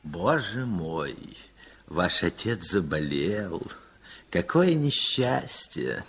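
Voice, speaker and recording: An actor playing a sly, falsely kind official of forty who speaks in an insinuating way in a radio play. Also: male voice, deep voice, sing-song, over loud music, exaggerated voice. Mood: feigned sympathy. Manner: slow, insinuating, feigned sympathy. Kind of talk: 1960s Soviet radio play acting.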